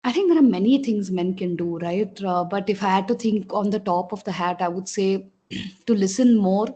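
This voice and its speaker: A woman with an Indian English accent speaks in a mellow, slightly husky voice. She answers as a podcast guest, thoughtful and measured, often pausing with "uh" and checking in with "right?". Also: mid-range voice, dull audio. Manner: reflective, uses "right?" and "uh" often. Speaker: guest coach and author.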